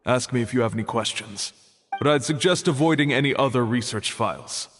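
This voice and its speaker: Game role: actor as reserved male archive keeper, medium pitch, formal and guarded, long hall reverb. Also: brisk, a bit bright, faint music behind, lightly acted. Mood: cautioning. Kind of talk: video game character dialogue.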